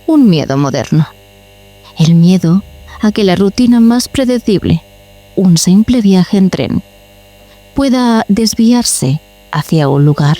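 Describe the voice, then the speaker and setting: Woman acting as solitary female archivist, dark mellow voice, measured and solemn, enigmatic, audio-drama narration, faint music behind. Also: mid-range voice. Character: solitary female archivist cataloguing eerie tapes. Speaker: host.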